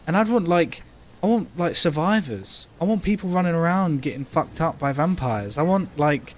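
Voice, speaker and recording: A man's voice: a little high, animated, moderate pace, slight background noise. A man co-hosting a casual gaming livestream, thinking aloud in a rambling, sweary way. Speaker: game streamer co-host.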